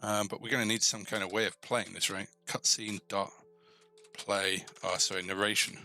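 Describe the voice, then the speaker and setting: A man with a fairly low, bright and forward voice talks through code on a live tutorial stream. He is informal and tentative, thinking out loud and pausing mid-thought as he works. Music plays clearly behind him. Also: level tone. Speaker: host of a coding tutorial stream. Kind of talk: casual live coding walkthrough, thinking aloud.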